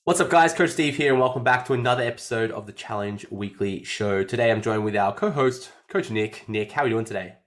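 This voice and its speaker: A man's voice: medium pitch, fairly quick, few pauses, fairly bright tone. A man, a fitness coach hosting a casual podcast, slightly nasal after an illness and chatty, often repeating phrases.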